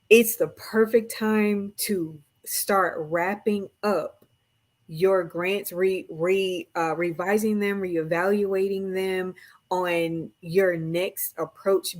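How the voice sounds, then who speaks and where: A woman giving a casual promotional talk as a co-host and coach, in a halting way that often repeats phrases. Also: medium pitch. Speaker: mastermind co-host and nonprofit grant coach.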